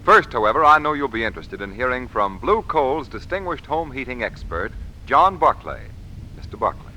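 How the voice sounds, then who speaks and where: Bright, animated old-time radio announcer, male. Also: mid-range voice, normal speed, a little hiss or hum, low-quality recording. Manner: polished, emphatic, dramatic. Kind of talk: 1940s radio announcing, scripted. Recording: old radio broadcast recording.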